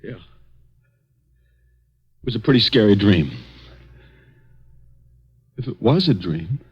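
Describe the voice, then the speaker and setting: An actor playing an anxious, down-on-his-luck young American man in a 1940s radio drama, halting and agitated. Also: on the low side, lively intonation, slightly rough, full character voice. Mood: frightened, puzzled. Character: shaken, anxious unemployed young man after a drugged night. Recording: dull, muffled old radio recording.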